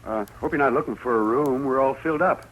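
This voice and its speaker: A man's voice: mid-range voice, fast talker, close to an everyday voice. An American man playing a chatty, inquisitive hotel clerk in a 1950s radio western, with a folksy way of talking. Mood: curious, affable. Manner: folksy, nosy. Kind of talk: 1950s radio drama acting. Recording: noisy vintage 1950s radio recording.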